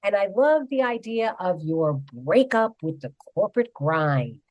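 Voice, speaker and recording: A woman's voice: mid-range voice, sing-song, measured pace, darkish tone, no room sound. A woman in her fifties hosts a radio talk show with emphatic enthusiasm. Her voice is recorded close and dry, with no room sound around it. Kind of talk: radio interview hosting.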